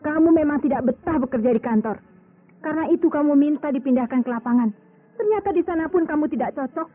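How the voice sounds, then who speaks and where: An actress playing a proud, cool and stubborn doctor wife quarrelling in an Indonesian radio drama. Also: female voice, sits high, fast, close to an everyday voice. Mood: exasperated, cutting. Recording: tinny old radio drama, music behind.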